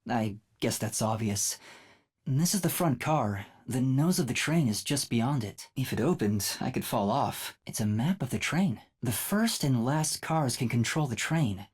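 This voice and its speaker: A woman voicing a timid, bewildered boy trainee detective in a video game, with a light, youthful, slightly breathy voice and a hesitant, earnest manner. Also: a bass voice, animated, measured pace, darkish tone, heavily acted. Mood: observing, curious.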